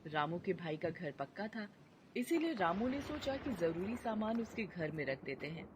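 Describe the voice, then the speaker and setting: A woman reads out a cartoon story in a scripted, storytelling manner, with lively pitch movement. Clear noise sits behind her voice throughout the recording. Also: normal speed. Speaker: narrator. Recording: noisy background.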